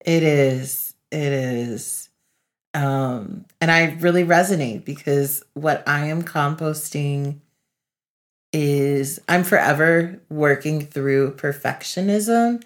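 A woman with a notably low voice chats as a podcast co-host. Her delivery is casual and conversational, with an earnest, introspective way of talking. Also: slow. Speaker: podcast co-host, facilitator and speaker. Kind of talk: casual reflective podcast chat.

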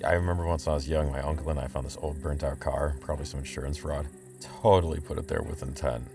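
A man's voice: a bass voice, fairly even intonation, quick pace. A man playing a reluctant, nervous young sidekick in an audio drama, hesitant and wryly complaining. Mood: practical, wry. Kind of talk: full-cast audio drama acting. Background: music.

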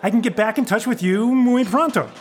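A man's voice: extremely high pitch, a little fast. An actor playing a pushy, glib theatre adviser in a comic podcast play, flippant and condescending like a salesman. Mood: cajoling. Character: pushy, glib male theatre pro giving advice.

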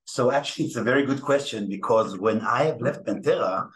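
A man's voice: mid-range voice, fairly quick, poor audio. A middle-aged man with an Israeli accent, a native Hebrew speaker, answering as a podcast guest with many fillers and restarts. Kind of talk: podcast interview.

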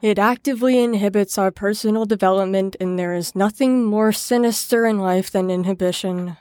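A woman's voice: medium pitch, fairly even intonation, moderate pace, a little mellow, no echo, natural voice. Woman playing an earnest clinician, close and dry. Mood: dutiful, clinical. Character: earnest, dutiful female clinical staffer at sinister institution. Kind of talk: audio drama dialogue.

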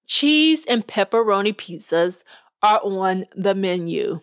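A woman doing a gravelly, stern old judge. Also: medium pitch, lively intonation, slow, no room sound, clean studio sound, pitched below the performer's own voice.

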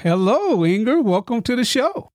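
A man's voice: extremely high pitch, very animated, normal speed, heavy background noise. A man hosting a podcast interview with his students, enthusiastic and formal in his introductions. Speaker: podcast host and instructor.

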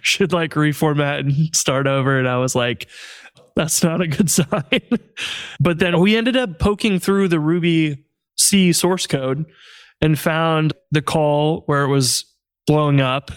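A man hosting a casual podcast chat, relaxed and chatty, laughing often and filling his talk with "like". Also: on the high side, measured pace, clean tone, no room sound, studio quality. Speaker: podcast host.

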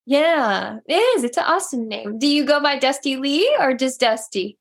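Bubbly high-voiced woman podcast host. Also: expressive, moderate pace. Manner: upbeat, gushing interviewer. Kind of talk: podcast interview.

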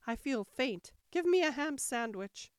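A woman's voice: high voice, expressive, a little slow, smooth, dry recording, clean studio sound, voice pushed up. A woman voicing a fussy, easily alarmed and impatient king in a storybook reading, with a petulant, pedantic manner. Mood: faint, plaintive. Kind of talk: storybook character voice.

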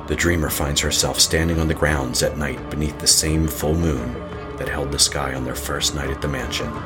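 Very deep-voiced male horror narrator. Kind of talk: scripted horror audio drama narration. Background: music.

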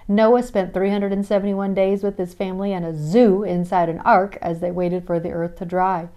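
A woman's voice: fairly low pitch, a little mellow. A woman with a Midwestern American accent hosting a daily Bible reading podcast, talking in an earnest, encouraging way and reading scripture passages aloud. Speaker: podcast host. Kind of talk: devotional Bible study talk.

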